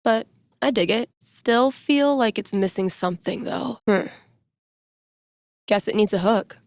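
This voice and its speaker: A woman plays a young woman with a wry, sardonic streak, a character from a video game. She talks in loose slang like an easygoing music geek, at a middling pitch. The sound is very dry, with no room around the voice. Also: unhurried, no echo, natural voice. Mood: casual, wry. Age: young adult.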